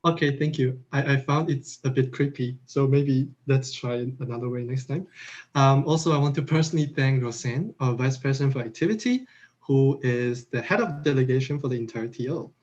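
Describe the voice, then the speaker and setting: A man's voice: mid-range voice, a little fast, darkish tone, a little hiss or hum. Earnest man closing a meeting. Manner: earnest, formal thank-yous with small asides. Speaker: federation president closing an assembly. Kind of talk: formal closing speech on a video call. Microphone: video call. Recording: slightly dull online call audio.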